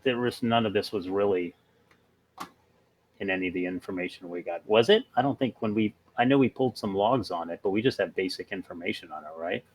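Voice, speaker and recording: A man playing a tabletop role-playing game, talking casually and haltingly as he works things out. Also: on the low side. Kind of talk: casual in-character role-play chat.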